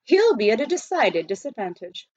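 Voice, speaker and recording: A woman voicing a composed, courteous and quietly confident butler in a children's storybook, with formal, polite phrasing. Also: on the high side, big pitch swings, normal speed, no echo, dull audio, pitched above the performer's own voice. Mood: politely confident.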